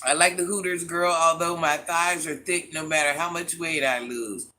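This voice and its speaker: Woman livestream host, sharp bright voice, teasing and chatty with her chat. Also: low voice, steady tone, moderate pace, slight background noise. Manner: teasing, talks straight to viewers by name, laughs often; calls herself Granny. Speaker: livestream host reading viewer comments.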